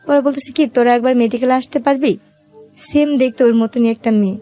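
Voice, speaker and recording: A woman's voice: fairly high pitch, steady tone, brisk, dark tone, faint music behind. A woman with a Bangladeshi accent telling her own life story as an interview guest, in colloquial, conversational speech.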